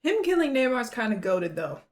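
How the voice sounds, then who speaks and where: Animated woman giving slangy reactions. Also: a little high, moderate pace. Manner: slangy, playful. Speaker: reaction video host. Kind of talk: casual reaction commentary.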